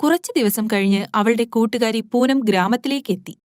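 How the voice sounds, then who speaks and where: A woman's voice: on the high side, moderate pace, darkish tone, no room sound, studio quality. A woman delivering scripted narration for a moral bedtime story.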